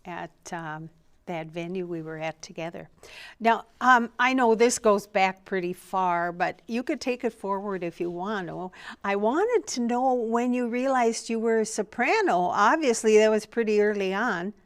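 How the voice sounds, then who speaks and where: A woman hosts an arts show, welcoming her guest with a warm, effusive manner. Her voice is smooth and clear, set at a middle pitch. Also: unhurried. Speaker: arts reporter and host. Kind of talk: hosting an interview.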